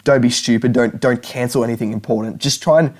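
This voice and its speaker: A young man speaks straight to the camera in an earnest, advice-giving way. His pitch sits in an ordinary middle range. He often repeats and restarts his phrases as he explains. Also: steady tone, fairly quick, a little mellow. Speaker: language learning YouTuber. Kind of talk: direct-to-camera advice talk.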